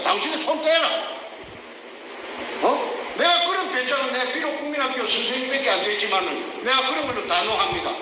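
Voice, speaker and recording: An older man with a Gyeongsang Korean accent gives heated, emphatic testimony, often shouting rhetorical questions. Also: high voice. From South Korea. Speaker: whistleblower. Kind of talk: emotional testimony. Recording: echoey distant room with noise.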